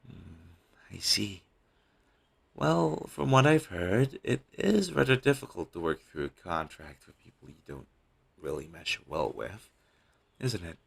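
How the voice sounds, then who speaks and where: A man playing a caring, teasing talent manager, coaxing a troubled actor in a one-sided audio roleplay with a low-quality sound. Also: very animated, a little slow, creaky, fairly bright tone, husky, exaggerated voice. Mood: teasing, probing. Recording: low-quality amateur recording.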